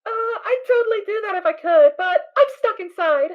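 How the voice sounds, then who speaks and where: A naive little filly pony bursts with eager, childlike giggles. The voice sits extremely high and comes through a thin, phone-like line. It suits a fan-made audio drama. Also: female voice, expressive, moderate pace. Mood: innocent. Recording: tinny phone-like sound.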